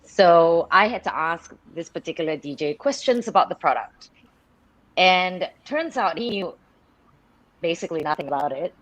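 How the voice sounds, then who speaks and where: A woman's voice: fairly low pitch, animated, moderate pace, a bit bright, poor audio. A woman from Singapore, a radio presenter, chatting as a guest on a live video call and telling stories with many restarts and laughs. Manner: chatty storyteller, restarts sentences, laughs often.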